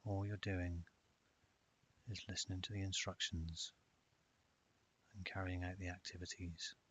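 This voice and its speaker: A man narrating a guided meditation in a calm, measured way with long pauses. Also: low-pitched. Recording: dull lo-fi audio with hiss.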